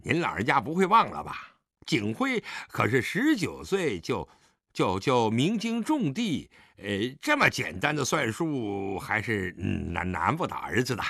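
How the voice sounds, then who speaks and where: A man's voice: medium pitch, huge pitch swings, a little slow, sharp tone, no room sound, studio quality, heavily acted. A man acting a drunk, defiant grown son who taunts his father insolently in an audiobook drama. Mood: mocking. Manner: insolent, taunting, slightly stumbling speech.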